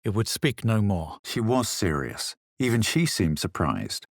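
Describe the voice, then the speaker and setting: A composed, haughty male adventurer from a fantasy game. His voice sits low and is recorded very dry and close. He speaks in measured phrases with a faint air of superiority. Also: big pitch swings, gravelly, dry recording, heavily acted. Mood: cool, measured. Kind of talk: video game character lines, scripted.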